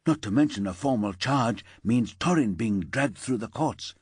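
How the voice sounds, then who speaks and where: A man voicing a level-headed senior female detective, calm and pragmatic. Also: mid-range voice, moderate pace, slightly rough, dry recording, clean studio sound.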